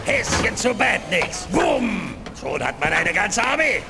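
A man's voice: sits high, sing-song, slow, gravelly, exaggerated voice. A man playing a pompous, scheming mad doctor in a video game dub, bombastic and sneering. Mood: grandiose. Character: pompous, scheming mad doctor, male, middle-aged villain. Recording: loud music under the voice.

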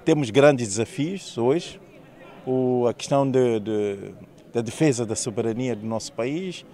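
A man's voice: mid-range voice, mellow. An older man with a Mozambican accent, a party official answering a reporter outdoors through a face mask into a handheld microphone. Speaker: party official being interviewed. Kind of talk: press interview. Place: outdoors in a paved courtyard.

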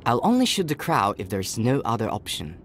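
An earnest boy hero of a storybook adventure game, speaks in measured, scripted observations. His voice sits at an ordinary male pitch, with faint ambient noise behind it. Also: wildly swooping pitch, fairly bright tone. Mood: reflective. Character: young boy Lars, earnest, protective older brother. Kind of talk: scripted game character narration.

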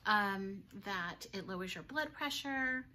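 A woman's voice: mid-range voice, lively intonation, slow, pushed and bright. A woman in her thirties who owns a yarn shop, chatting in a rambling, giggly way full of fillers. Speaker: yarn shop owner, co-host. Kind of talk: casual chat.

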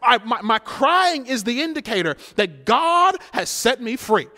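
A man's voice: very high voice, sing-song, a little slow, pushed and bright. An American man preaching an impassioned, interactive sermon, exhorting listeners in repeated rhythmic refrains that build in intensity.

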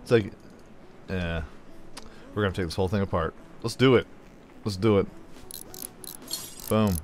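A man's voice: sits low, measured pace, darkish tone, slight background noise. A man casually commenting on a mechanic game he plays, joking and talking to the parts as he removes them. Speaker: gameplay commentator. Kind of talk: casual let's play commentary.

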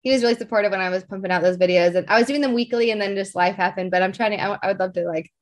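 Young adult woman, medium pitch, interview guest, casual storytelling, informal, rambling, filler-heavy. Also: rapid-fire. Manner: informal, rambling, frequent "like" and "you know".

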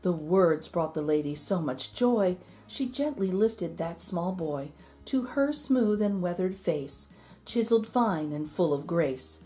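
A woman reading a rhyming children's picture book aloud in an expressive, measured storytelling manner. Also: fairly low pitch, faint music behind. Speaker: storybook reader.

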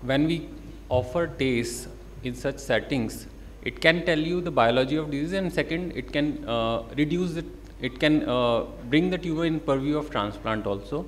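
A man's voice: on the high side, normal speed, slight background noise. A man with an Indian English accent answering as a panelist doctor, hesitant with frequent fillers. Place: conference stage.

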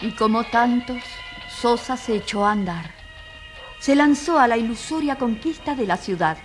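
Actress as a solemn woman narrator, slightly high. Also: animated, normal speed, over music, natural voice. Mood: solemn, indignant. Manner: grave, rhetorical. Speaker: narrator and moderator of a dramatized trial. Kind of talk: scripted dramatic narration.

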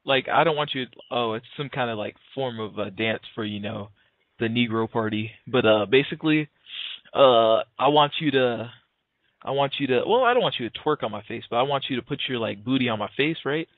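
A man's voice: mid-range voice, brisk, no room sound. Hesitant, slangy young man on the phone. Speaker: prank caller. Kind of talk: casual phone prank, feigned sincerity. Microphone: telephone call. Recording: narrow, tinny phone line.

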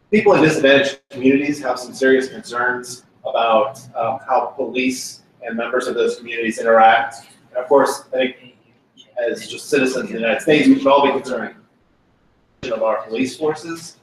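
A man in the audience posing a deliberate question at a panel discussion. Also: mid-range voice, fairly even intonation. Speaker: audience member asking questions. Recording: very echoey room from a distance, faint noise.

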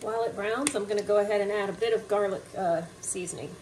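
A young woman casually narrating a home cooking video in a relaxed, chatty way, with fillers. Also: medium pitch, fast talker. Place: home kitchen. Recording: distant mic, noticeable room noise.

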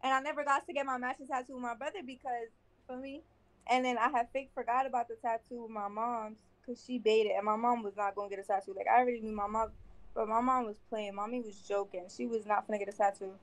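A woman's voice: on the high side, fairly even intonation, quick pace, rough recording. A woman rambling through a long personal story over a video chat, circling back and repeating herself in loose slang.

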